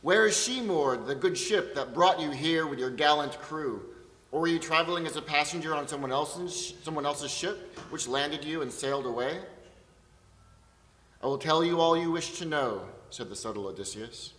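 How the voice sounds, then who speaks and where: A man's voice: on the high side, moderate pace, a bit bright. A man giving a dramatic, declamatory storytelling reading of an ancient epic on stage. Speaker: narrator of excerpts from Homer's epic. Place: theatre stage at an arts centre. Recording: echoey hall, distant from mic.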